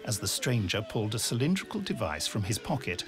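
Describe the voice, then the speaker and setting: Man narrating an audio drama, animated. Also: on the low side, fairly quick, music in the background.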